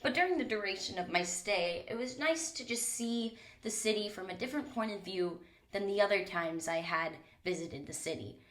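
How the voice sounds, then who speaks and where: A woman's voice: mid-range voice, moderate pace, a bit bright. A young woman hosting her own podcast, talking candidly and casually with some swearing, her voice a little stuffy from a cold, recorded on a mic in a small closet. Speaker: podcast host. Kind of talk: solo podcast monologue.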